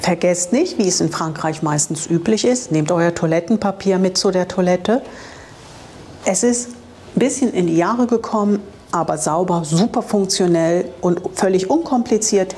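A woman's voice: lowish voice, normal speed, warm tone. A woman gives a chatty, enthusiastic guided tour to camera as a travel vlogger, teasing now and then, on a clip-on microphone. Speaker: camper van travel vlogger and presenter. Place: campsite, outdoors and in small tiled rooms. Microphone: clip-on lapel microphone. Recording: clear ambient noise on location.

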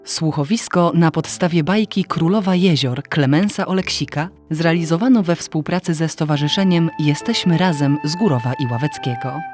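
A woman's voice: sits low, normal speed, hardly any pauses, a little mellow, faint music behind. A woman reading a scripted fairy tale as narrator.

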